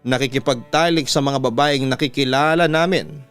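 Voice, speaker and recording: Clear, smooth Filipino man narrating over music. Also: mid-range voice, normal speed, a bit bright. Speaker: radio announcer, story channel host. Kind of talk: dramatic first-person letter narration. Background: music bed.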